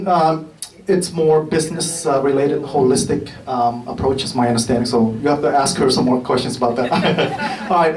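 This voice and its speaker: A man presenting grants at a community meeting, halting and filled with ums and little chuckles. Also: a little high, noisy background. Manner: halting, many fillers, chuckles between remarks. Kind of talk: casual presentation remarks. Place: meeting room with an audience. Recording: roomy hall from far off with crowd.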